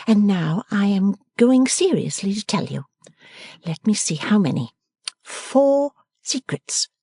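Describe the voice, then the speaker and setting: A woman's voice: mid-range voice, very animated, takes it slowly, warm tone, breathy edge, no echo, studio quality, voice pushed up, full character voice. A woman reader voicing a playful, teasing young woman who talks in an emphatic, theatrical way.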